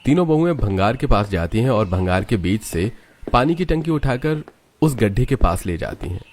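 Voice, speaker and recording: A man's voice: lowish voice, very animated, moderate pace, a little mellow, a little hiss or hum. A man narrating a scripted cartoon story as its storyteller.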